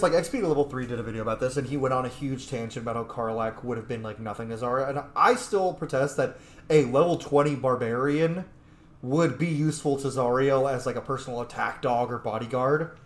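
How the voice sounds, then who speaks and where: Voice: mid-range voice, brisk. Rambling gamer in an echoey room. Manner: rambling, opinionated, geeky tangents. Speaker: gaming video host. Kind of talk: casual let's-play commentary. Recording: roomy echo, faint background noise.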